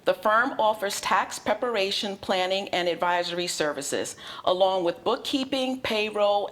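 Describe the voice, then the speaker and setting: A woman hosting a talk show, bubbly and enthusiastic as she chats with her guest. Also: medium pitch. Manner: bubbly, enthusiastic, laughs often. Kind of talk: upbeat interview chat.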